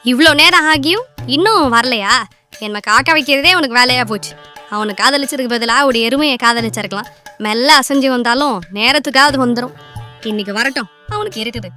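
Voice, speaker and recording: A woman voicing an impatient, expressive young girlfriend in an animated moral story. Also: high voice, fairly quick, faint music behind. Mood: annoyed. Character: impatient young woman scolding and consoling her boyfriend.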